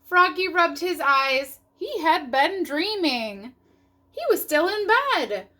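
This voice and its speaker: A woman reading a storybook aloud for children in an animated narrating style. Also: very high-pitched, takes it slowly. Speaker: storybook reader.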